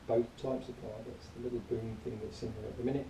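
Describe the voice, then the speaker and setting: A man giving an informal spoken review in a rambling, matter-of-fact, grumbling way, partly reading aloud, recorded quietly on a camera some distance away. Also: mid-range voice, little pitch movement, quick pace, vocal fry. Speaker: product reviewer. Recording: distant quiet mic, roomy echo, faint noise.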